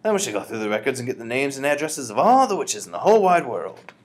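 A man's voice: mid-range voice, big pitch swings, fast talker, voice pushed up. A man voicing a feisty, bold old grandmother in a storybook, emphatic and commanding. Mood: grandly determined.

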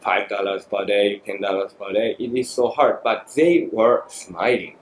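Japanese man, forties, podcast guest, halting and reflective, echoey studio. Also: on the low side. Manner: thoughtful, halting, many fillers. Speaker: guest photographer. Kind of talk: podcast interview. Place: small studio room. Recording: echoey room, faint noise.

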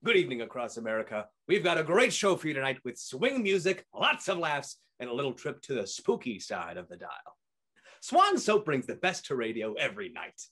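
Man acting a wildly animated 1940s radio announcer. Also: medium pitch, fairly quick. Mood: showy, upbeat. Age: young adult. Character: upbeat 1940s radio announcer and sponsor pitchman.

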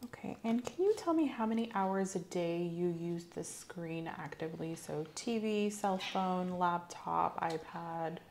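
A young adult woman speaking softly and reassuringly as she questions a patient in an ASMR medical roleplay. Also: on the low side, expressive, measured pace, few pauses, slight background noise. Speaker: host playing a nurse in a roleplay. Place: small indoor room set up as an exam room.

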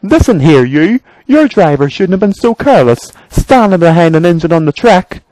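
Storybook youngster: cheeky, outspoken young engine, high and animated played by a man. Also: moderate pace, dry recording, poor audio. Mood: indignant.

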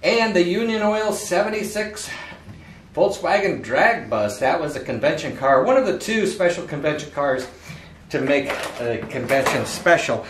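Middle-aged male collector, upbeat and chatty, slightly higher voice, roomy living-room sound, faint hiss. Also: very animated, moderate pace. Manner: chatty, enthusiastic, salesy asides. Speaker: toy car collector and dealer. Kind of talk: casual unboxing vlog. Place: living room indoors, home. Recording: echoey living room, faint background noise.

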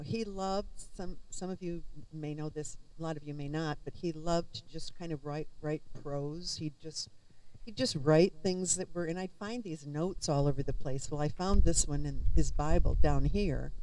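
Soft-spoken older woman reading at a memorial. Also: low-pitched. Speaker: family member giving a eulogy. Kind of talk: eulogy reading a poem. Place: outdoors at a waterside memorial gathering. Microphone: handheld mic. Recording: distant outdoor camera recording.

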